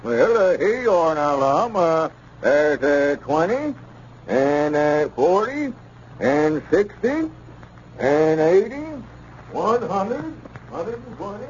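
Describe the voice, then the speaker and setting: A wheedling, stingy squire. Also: male voice, fairly high pitch, very animated, slow. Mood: grudging. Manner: hesitant, full of uh fillers, wheedling. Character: scheming, stingy small-town squire. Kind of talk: 1930s radio comedy acting. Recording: muffled 1930s radio transcription with hiss.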